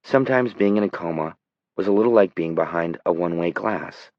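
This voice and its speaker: A man's voice: low voice, fairly quick, dry recording, dull audio. A man narrating scripted dramatic fiction as an audiobook reader.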